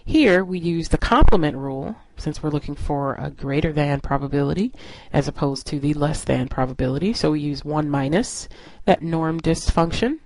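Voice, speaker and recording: A woman's voice: low-pitched, normal speed, few pauses, darkish tone, no room sound. A woman instructor narrating a lesson in an explanatory, step-by-step way. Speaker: statistics instructor.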